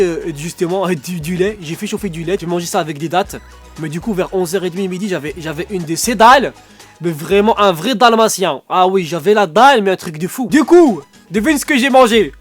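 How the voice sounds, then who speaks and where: A man with a stuffy nose doing a rambling comic vlog from under a duvet at home, acting out little dialogues. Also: high voice. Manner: joking, rambling, acts out dialogues. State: stuffy nose, sore throat. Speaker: vlogger.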